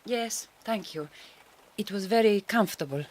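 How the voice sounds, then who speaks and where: Radio drama: actress as young Hungarian woman, farm worker, courteous, self-possessed, romantic streak, mid-pitched, Hungarian-accented English. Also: normal speed, lightly acted. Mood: polite. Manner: careful, formal phrasing.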